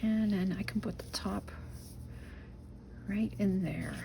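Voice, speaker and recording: Slow-spoken Dutch-accented woman crafting. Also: medium pitch, fairly even intonation, creaky, noisy background. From Netherlands. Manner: chatty, talks to herself while working, soft murmurs and whispers. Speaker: junk journal crafter. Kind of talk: casual craft-along narration.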